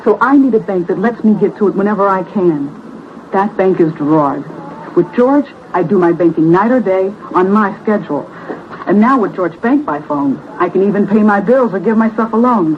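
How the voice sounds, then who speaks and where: A woman's voice: medium pitch, expressive, moderate pace, lightly acted. Busy, practical nurse played by a woman, muffled radio. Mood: earnest, matter-of-fact. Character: busy, practical female registered nurse, bank customer. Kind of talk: radio ad testimonial. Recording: dull vintage radio ad, faint noise.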